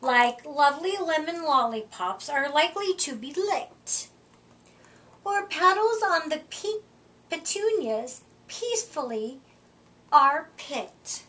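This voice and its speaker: Woman storytime reader, slow deliberate pacing, bright voice, expressive emphasis, slightly distant mic. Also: high voice, a little hiss or hum. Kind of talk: picture-book read-aloud for children. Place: indoor room, seated before a curtain. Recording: voice distant from the microphone.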